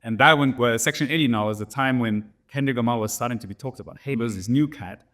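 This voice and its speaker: A man's voice: mid-range voice, quick pace, a bit bright. Man with a slight German accent. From Germany and Namibia. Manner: rambling, many restarts and "right?" tags. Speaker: DJ guest. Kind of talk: casual interview storytelling.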